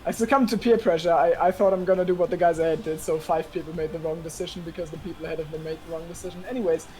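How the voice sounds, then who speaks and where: A woman's voice: fairly low pitch, fairly even intonation, quick pace, mellow, a little hiss or hum, low-quality recording. Chatty woman co-commentating a sim race. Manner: chatty and self-mocking, often laughing between words. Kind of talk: casual race commentary chat.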